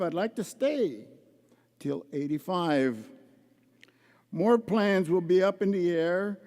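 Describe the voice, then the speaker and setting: An older man giving a measured, heartfelt acceptance speech at a gala and reciting a poem. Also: high voice, dark tone. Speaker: award honoree, judge. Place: large indoor arena gala. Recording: echoey large arena.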